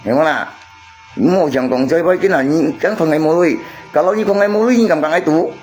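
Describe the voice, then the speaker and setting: A man's voice: a little high, quick pace, darkish tone, soft background music. A man chatting casually on a livestream, repeating himself and arguing his point to viewers. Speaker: live streamer.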